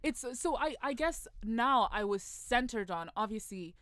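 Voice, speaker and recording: A woman's voice: on the high side, lively intonation, measured pace, a bit bright, no echo, clean studio sound. A woman in her twenties chatting casually and candidly, with slang and swearing. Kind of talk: casual group chat.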